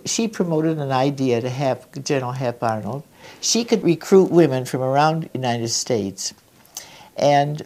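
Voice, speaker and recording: An older woman who flew during the war, recalling her past in an interview with a measured, reflective manner. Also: very deep voice, dark tone. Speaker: interviewee, former wartime pilot.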